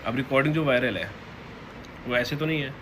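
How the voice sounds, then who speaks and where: A man addressing followers informally and unscripted, halting and repeating his phrases, in a noisy recording. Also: mid-range voice. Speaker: online content creator. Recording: clearly noisy ambient background.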